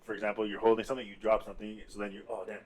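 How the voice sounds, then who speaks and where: A man's voice: little pitch movement, rapid-fire, a crowd in the background. A man chatting casually as a podcast host, with slangy, easygoing banter. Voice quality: fairly deep voice next to his co-speakers.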